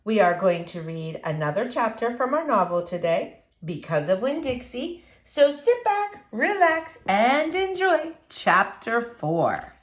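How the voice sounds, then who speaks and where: A woman reading a children's novel aloud as an expressive storyteller. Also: on the high side, measured pace, reverberant.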